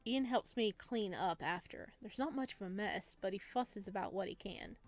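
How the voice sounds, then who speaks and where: A woman's voice: medium pitch, moderate pace. A woman hosting a visual novel playthrough, reading the story and commenting casually. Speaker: game playthrough host. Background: game music.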